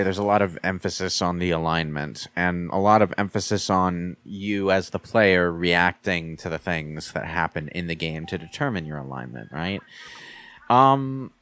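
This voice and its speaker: Man, fairly low pitch, casual debate style, halting phrasing, repeated words, gaming commentary. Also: animated, a bit bright, no room sound. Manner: halting, repeats words while forming arguments. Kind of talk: casual opinionated discussion of video games.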